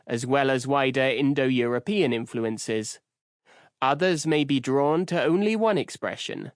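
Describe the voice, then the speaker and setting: A man narrating a nonfiction audiobook in the first person, reading in a measured, clear way on a close studio microphone. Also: mid-range voice, dry recording.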